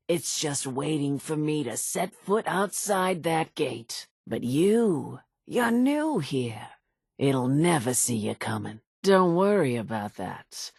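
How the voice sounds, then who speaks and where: An actress playing a vengeful old island widow in a video game, telling grim tales in a raspy, folksy, theatrical way. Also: female voice, sits low, no room sound, clean studio sound, exaggerated voice. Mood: dramatic, scheming. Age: older. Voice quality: raspy, gravelly. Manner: folksy, dramatic storytelling drawl. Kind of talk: video game character dialogue.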